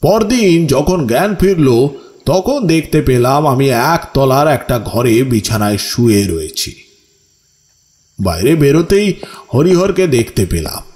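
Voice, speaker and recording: A man's voice: mid-range voice, lively intonation, darkish tone, natural voice. A man acting as the haunted narrator of a ghost story, telling his own ordeal in dramatic, suspenseful first person. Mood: eerie suspense. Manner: slow, suspenseful, with pleading stammering outbursts in dialogue.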